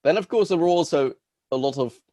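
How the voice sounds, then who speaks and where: A man's voice: fairly high pitch, a little fast, warm tone, no room sound, clean studio sound. A Dutch man with a Dutch accent, a guest on a podcast, chatting in an easygoing way and laughing along. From Netherlands. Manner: easygoing, laughs along, short agreeing replies. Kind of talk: casual interview chat.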